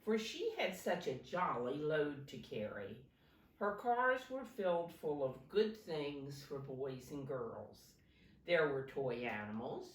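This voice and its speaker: A woman reading a picture book aloud to young children like a teacher, in simple deliberate phrases, pausing to point things out. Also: low-pitched. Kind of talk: animated children's storybook read-aloud. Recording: roomy echo, distant microphone.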